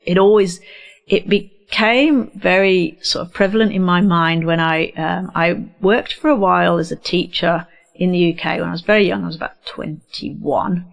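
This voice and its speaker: A woman's voice: fairly low pitch, fairly even intonation, moderate pace, creaky. A middle-aged woman with a slight English accent, a radio interview guest in a studio, speaking candidly and reflectively with many hesitations. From England. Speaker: guest, neurodiversity educator. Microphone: studio microphone.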